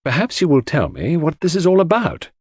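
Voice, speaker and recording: A man voicing an earnest, loyal gentleman sidekick with a hearty, old-fashioned chummy manner in a mystery audiobook. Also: fairly high pitch, wildly swooping pitch, brisk, breathy edge, no room sound, studio quality, voice pushed up, full character voice. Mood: bemused.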